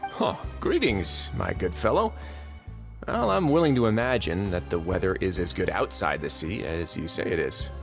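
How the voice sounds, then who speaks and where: An actor playing a calm, courteous young duke who runs a prison, speaking with polished, gently wry politeness in video game dialogue. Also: male voice, low voice, moderate pace, vocal fry, faint music behind, full character voice.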